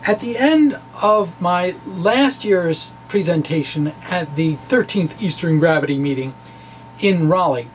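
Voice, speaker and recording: A man with a high-pitched voice reads a science blog aloud in a folksy, conversational way. He explains haltingly and drops in informal asides. A faint hum and noise sit behind the voice. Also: rough recording. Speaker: amateur physicist presenter. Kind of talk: dramatic reading of a physics blog, conversational asides.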